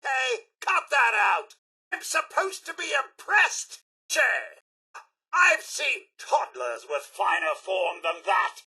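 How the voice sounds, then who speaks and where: A fussy, loyal robot butler from a video game, British and grandly formal. The voice sits high and is piercingly bright, with a raspy edge. It is pompous and eager to serve, delivered in an unhurried, theatrical way. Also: male voice, animated, exaggerated voice. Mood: defiant, combative. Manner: formal, pompous, deferential, exclamatory. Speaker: companion servant in a video game.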